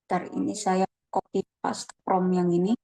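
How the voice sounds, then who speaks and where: A woman presenting a casual online tutorial, relaxed and chatty, often thinking aloud with fillers. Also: fairly low pitch, a little slow, creaky, no room sound, studio quality. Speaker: webinar presenter.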